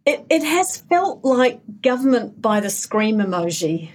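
A woman's voice: fairly high pitch, big pitch swings, unhurried, crowd noise. A woman from New Zealand, chatting about politics as a panel guest, with wry jokes and many restarts. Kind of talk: casual political panel chat.

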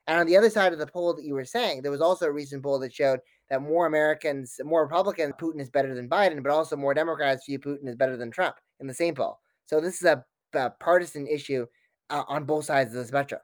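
Fast-talking male academic commentator. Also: a little high, dry recording. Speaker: academic expert guest. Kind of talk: fast analytical interview commentary.

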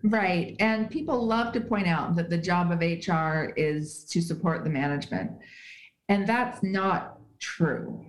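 A woman's voice: fairly low pitch, a little slow, reverberant, rough recording. A woman, an HR writer, speaking as an interview guest, talking emphatically and explaining with examples. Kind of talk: conversational interview. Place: at home in Switzerland.

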